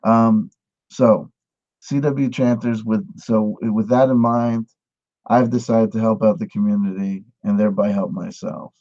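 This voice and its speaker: A man giving a satirical mock lesson, with a mock-earnest pitchman delivery. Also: steady tone, a little slow, warm tone, muffled recording. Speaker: mock instructor. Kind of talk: satirical monologue.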